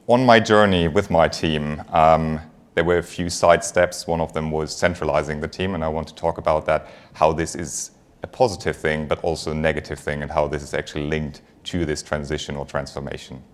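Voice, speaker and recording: Deep-voiced man with a German accent. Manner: conversational, engaging, light humour with the audience. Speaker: finance executive giving a conference talk. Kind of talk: conference presentation.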